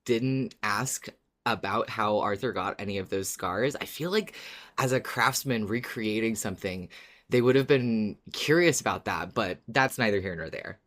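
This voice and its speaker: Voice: mid-range voice, normal speed, a bit bright. A podcast co-host who talks informally about a favourite show, in both recap and fan discussion. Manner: informal, sweary, often laughing. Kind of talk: scripted recap, then casual fan discussion.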